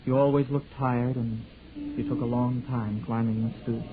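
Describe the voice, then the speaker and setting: An actor playing a shy, gentle young man who speaks hesitantly with stammers and narrates his memories, in a 1940s radio drama. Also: mid-range voice, little pitch movement, moderate pace, narrow, tinny audio, lightly acted. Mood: narrating.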